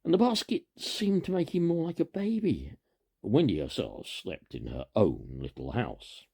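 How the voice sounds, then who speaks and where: A man reads a children's story aloud as a storyteller, with an expressive, emphatic lilt and a gravelly, husky voice. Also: medium pitch, a little slow.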